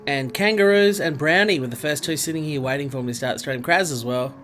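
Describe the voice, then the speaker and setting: A man's voice: on the high side, fairly quick, a bit bright, slight background noise. An Australian man with a stuffy, nasal voice from a cold, chatting casually with viewers while streaming a racing game. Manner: chatty, greets viewers by name. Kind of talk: casual live-stream chat over gameplay.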